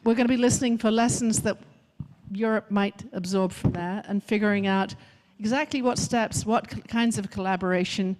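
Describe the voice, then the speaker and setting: A woman's voice: mid-range voice, moderate pace, a little mellow, a little hiss or hum, poor audio. A woman speaking as a moderator, introducing a conference panel in an unscripted way. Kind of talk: spontaneous stage introduction.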